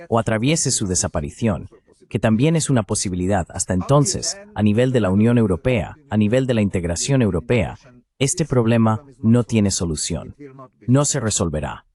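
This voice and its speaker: Deep-ish guy doing voice-over for interview answers, deliberate, explains things, pitch moves around a lot. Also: expressive, a little mellow. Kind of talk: dubbed interview answers, measured political analysis.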